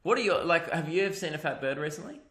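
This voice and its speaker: A man hosting a podcast with a high-pitched voice, chatting casually, joking and swearing as he reads out listener reviews. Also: very animated, quick pace, sharp tone, reverberant. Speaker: podcast host. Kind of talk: casual chat.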